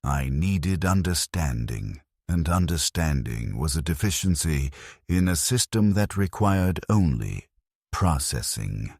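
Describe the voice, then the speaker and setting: A man narrating a first-person story in a grave, measured, scripted delivery. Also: extremely low pitch, big pitch swings, darkish tone, no echo, studio quality.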